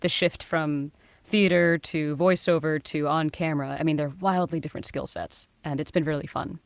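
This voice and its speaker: A woman voice actor as an interview guest, answering in a lively way and laughing often. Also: sits low, normal speed, vocal fry, no echo. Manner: bright, laughing, animated answers. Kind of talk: casual interview chat.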